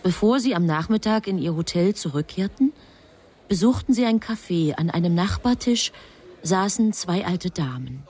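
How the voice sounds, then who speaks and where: A woman's voice: on the low side, lively intonation, normal speed, a little mellow, husky. A woman performing as the grave, composed narrator of a literary radio drama. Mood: grave, tense. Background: music.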